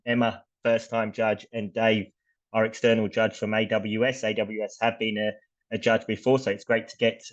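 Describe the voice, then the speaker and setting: A man hosting an online student competition on a video call, speaking hesitantly with many fillers. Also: lowish voice. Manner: hesitant, lots of uh and um. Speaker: event host, sponsor representative. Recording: dull lo-fi video call audio.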